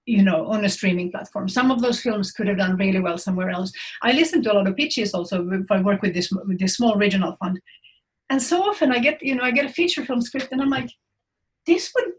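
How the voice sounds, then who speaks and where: A woman from Finland talks on a video call from a room at home, frank and animated. Her words come quick, with restarts and repeated words. Her pitch sits at an ordinary middle height. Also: low-quality recording. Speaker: film industry guest speaker. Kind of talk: panel talk on a video call. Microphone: laptop mic on a video call.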